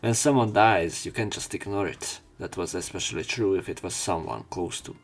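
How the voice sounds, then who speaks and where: A man's voice: deep voice, moderate pace. A man reading a visual novel aloud as a let's play host, with his own asides.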